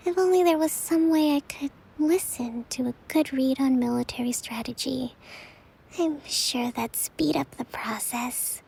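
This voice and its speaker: A woman voicing a gentle, weary young priestess who softly confides her self-doubt in a measured, earnest way for a video game. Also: high voice, gravelly, slight background noise, rough recording. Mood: tired, hopeful.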